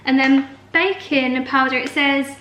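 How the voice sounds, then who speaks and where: Female home-baking vlogger, high voice with slight hoarseness, casual and explanatory, roomy sound with faint noise. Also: fairly even intonation, measured pace. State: slightly hoarse from a long call. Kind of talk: casual chatty baking vlog narration. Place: home kitchen. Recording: echoey room, faint noise, clicks.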